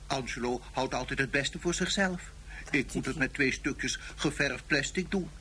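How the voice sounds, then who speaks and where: An actor playing a vain, simpering talking doll in a radio drama, with an affected, gushing delivery. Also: male voice, medium pitch, moderate pace, pushed and bright, slight background noise, close to an everyday voice. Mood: vain, sulky. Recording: music behind the voice.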